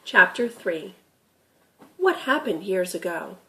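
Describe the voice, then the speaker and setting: A woman's voice: medium pitch, sing-song, slow, fairly bright tone, poor audio. A woman narrating a children's story as a scripted dramatic reading.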